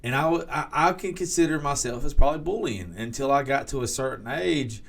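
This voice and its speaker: A Southern man in his early thirties speaks frankly, with a crude, joking edge. His talk is loose and casual. His voice sits at an ordinary middle pitch for a man. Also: fairly quick, gravelly. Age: 30s. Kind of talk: casual chat.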